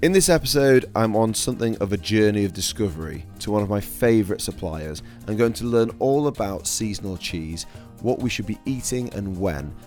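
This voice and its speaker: A man with a croaky, worn voice, a chef hosting his own podcast in upbeat scripted narration. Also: lowish voice, animated, fairly quick, darkish tone, faint music behind.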